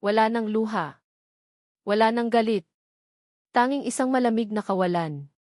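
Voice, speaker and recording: A woman's voice: fairly high pitch, moderate pace, a little mellow, no room sound, studio quality. A woman narrating a dramatic love story as a scripted audiobook.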